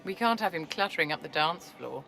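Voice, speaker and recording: A woman's voice: sits low, lively intonation, fairly quick, creaky, sharp tone, soft background music, exaggerated voice. A woman playing an imperious, elegant court enchantress in a video game, polished, condescending and silkily menacing. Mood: silky menace. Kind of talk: video game cutscene acting.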